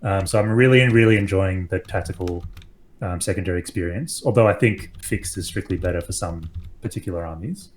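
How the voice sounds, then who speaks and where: An Australian man chats as a podcast guest. His voice sits on the low side and lifts when he gets enthusiastic. He talks casually, with loose fillers and the occasional swear. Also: brisk, a little hiss or hum.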